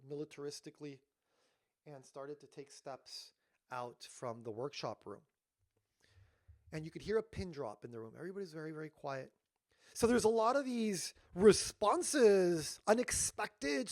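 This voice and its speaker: A man's voice: on the high side, no room sound. Man telling a story, dry close sound. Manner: animated storyteller, dropping to hushed tones for emotional moments. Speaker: workshop leader and speaker. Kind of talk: storytelling keynote talk.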